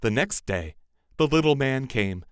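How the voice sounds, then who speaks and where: A man narrating a scripted fairy tale as a storyteller, in an expressive, measured manner. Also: medium pitch, clear, resonant tone, dry recording, clean studio sound.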